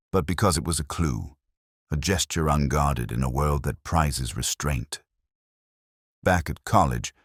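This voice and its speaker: Very deep-voiced brooding detective played by a man. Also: no echo, studio quality, full character voice. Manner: measured, brooding, deliberate literary phrasing. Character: reflective, world-weary English male police detective. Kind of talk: first-person scripted mystery narration.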